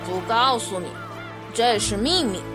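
A woman's voice: on the high side, animated, a little slow. A woman voicing a haughty little merman boy with a cocky, dismissive manner in a Chinese costume radio drama. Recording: music behind the voice.

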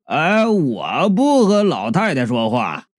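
A man voicing a stubborn, simple-minded childish man who whines in drawn-out refusals in an audiobook. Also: on the high side, wildly swooping pitch, no echo, studio quality, pitched below the performer's own voice, full character voice.

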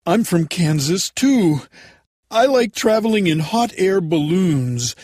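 Man acting a husky little old sham wizard. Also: high voice, lively intonation, takes it slowly, a little mellow, no room sound, studio quality, pitched above the performer's own voice, exaggerated voice. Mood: confiding.

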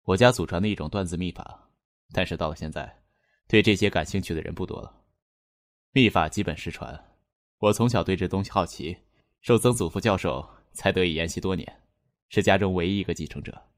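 Male actor for eerie audio-drama fortune teller: very low, dry voice, solemn and matter-of-fact, never softens a verdict. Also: dry recording, natural voice. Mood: calm, self-assured. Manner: measured, solemn, unyielding. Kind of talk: Chinese audio drama acting.

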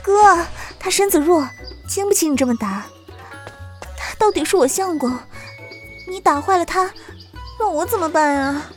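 An actress playing a gentle, anxious young wife and mother in a period audio drama, soft, tender and girlish. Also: very high-pitched, animated, moderate pace, long pauses, faint music behind. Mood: pleading. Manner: tender, pleading.

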